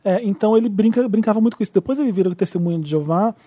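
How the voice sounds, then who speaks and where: A man hosting a casual podcast chat, quick and enthusiastic, steering the talk. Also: high-pitched, mellow, no room sound.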